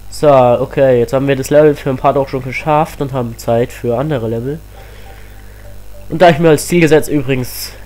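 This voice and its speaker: A teenage boy with a cold, chatting excitedly as he plays a platform game on his channel, full of rambling asides. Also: medium pitch, rough recording. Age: teens. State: has a cold. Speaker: let's play host. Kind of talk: casual game commentary.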